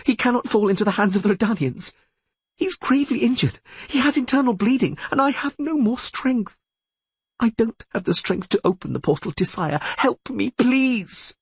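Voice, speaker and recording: A woman's voice: a little high, expressive, moderate pace, breathy edge, no room sound. A woman voicing a desperate young sorceress in an audiobook, pleading urgently. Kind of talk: audiobook character voice.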